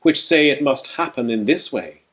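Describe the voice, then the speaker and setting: A man reading the part of a calm, authoritative male teacher in a scripture reading, solemn and deliberate. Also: mid-range voice, close to an everyday voice. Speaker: clergy reader.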